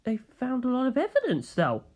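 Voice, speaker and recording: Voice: sits high, wildly swooping pitch, normal speed, a little mellow, pitched above the performer's own voice, full character voice. A narrator voicing an earnest young male detective who questions and objects insistently. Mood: insistent, doubting.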